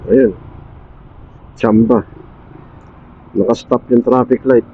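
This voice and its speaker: A man's voice: mid-range voice, fairly even intonation, takes it slowly. A man with a Filipino accent chatting casually to his vlog audience in short, offhand phrases, speaking into a helmet intercom mic while riding a motorcycle. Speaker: motorcycle vlogger. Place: outdoors on a motorcycle at night, in traffic. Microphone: helmet intercom mic under the visor. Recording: dull intercom mic with road noise. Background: faint vehicle and road noise.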